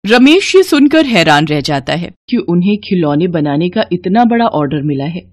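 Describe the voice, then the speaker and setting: A woman's voice: on the low side, very animated, moderate pace, dry recording. A woman narrator reading a scripted moral bedtime story for children.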